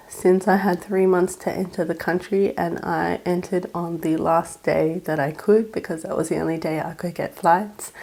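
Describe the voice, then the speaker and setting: A young Australian woman with a raspy voice from a cold, chatting through practical tips in a home vlog. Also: fairly low pitch, a little mellow. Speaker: vlogger. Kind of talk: casual advice vlog.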